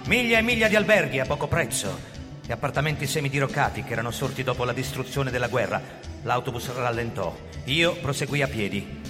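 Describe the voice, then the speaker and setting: A man's voice: medium pitch, lightly acted. An actor playing a tense, brooding fugitive who recounts his flight in a theatrical radio drama style. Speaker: lead actor and narrator. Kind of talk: dramatic first-person radio drama narration. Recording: reverberant hall, music over the voice. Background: prominent musical instruments.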